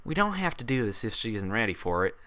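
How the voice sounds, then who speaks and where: Storybook mare physician performed by a man: gentle, hushed, worried, hesitant. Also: lowish voice, big pitch swings, fast talker. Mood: apprehensive. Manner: quiet, worried. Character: apprehensive, gentle female pony doctor friend.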